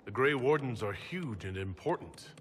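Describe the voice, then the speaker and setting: An actor playing a calm, diplomatic leader with a husky voice and an even temper in a fantasy video game. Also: male voice, mid-range voice, moderate pace, slight background noise, natural voice. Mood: calm, probing. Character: composed, diplomatic male Inquisition leader. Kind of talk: video game dramatic acting.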